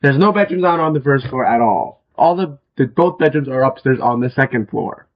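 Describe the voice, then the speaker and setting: A young man with a hoarse, worn-out voice, chatting casually as he walks viewers through a house in a video game, with many fillers and throat clearings. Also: mid-range voice, expressive, fairly quick, dull audio. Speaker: gaming video host. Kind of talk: casual game walkthrough commentary.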